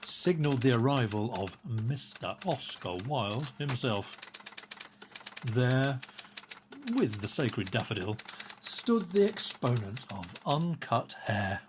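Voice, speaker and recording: A woman plays a grandiose Victorian reporter in a radio drama, declaiming florid copy. The voice is very low and swoops expressively over clear typewriter clatter. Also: animated, slow, full character voice. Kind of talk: declaimed radio play narration. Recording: clattering typewriter noise behind voice. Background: typewriter typing.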